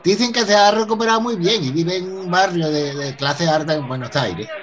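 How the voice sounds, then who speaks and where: A Venezuelan actor playing a polite, earnest professor in a radio soap opera, explaining things with hesitant stammers. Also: male voice, high voice, moderate pace, a bit bright. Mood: informative, hesitant. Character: polite, earnest university professor and new son-in-law.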